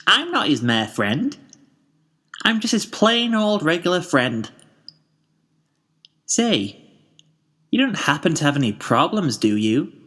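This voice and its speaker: A man's voice: a little high, big pitch swings, takes it slowly, long pauses, a bit bright, voice pushed up, exaggerated voice. A man voicing a cheerful, determined young female cartoon pony with a bubbly, chirpy, encouraging manner in a story reading. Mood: upbeat. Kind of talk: fan fiction character reading.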